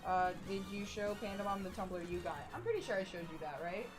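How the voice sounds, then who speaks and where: A woman's voice: mid-range voice, fast talker. A woman hosting a stream, chatting casually with a giggly, rambling manner. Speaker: streamer host. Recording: music playing behind.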